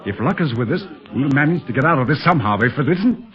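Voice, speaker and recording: An actor playing a calm, protective American secret police agent in a 1930s radio adventure serial, speaking with earnest authority in a husky voice. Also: male voice, medium pitch, fast talker, soft background music, lightly acted. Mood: urgent, tense. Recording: muffled, scratchy 1930s radio recording.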